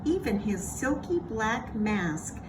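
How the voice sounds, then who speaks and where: A woman narrating a children's story aloud in an expressive read-aloud style. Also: fairly high pitch, slow, noisy background.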